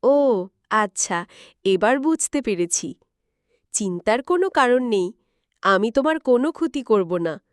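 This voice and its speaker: Gentle cartoon fairy girl, a woman voicing her, high and smooth, dry booth. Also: animated, unhurried, long pauses, dry recording. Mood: calm, curious. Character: gentle young female fairy in a palace.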